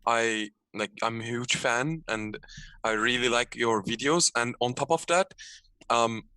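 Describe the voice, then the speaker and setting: A man's voice: medium pitch, a little slow, bright, forceful tone, clean tone, dry recording. A Turkish man calling in over a video call, talking in hesitant, apologetic bursts with lots of restarts, English not his first language. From Turkey. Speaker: caller. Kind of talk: nervous call-in chat.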